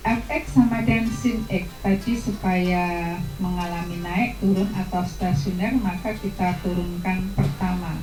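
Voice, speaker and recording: A woman teaching a math lesson, walking students through each idea step by step. Her voice is mellow and relaxed, recorded in a roomy, echoey space with soft guitar music playing faintly behind her. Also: lowish voice, a little slow. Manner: patient, step-by-step explanation to students.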